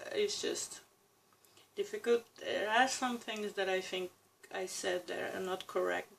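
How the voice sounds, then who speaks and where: A woman's voice: lowish voice, creaky, slight background noise, poor audio. A Brazilian woman in her thirties with a Brazilian Portuguese accent, talking reflectively to camera in a personal vlog. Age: 30s. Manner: reflective, with pauses and restarts, soft laughs. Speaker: vlogger. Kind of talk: casual personal talk to camera.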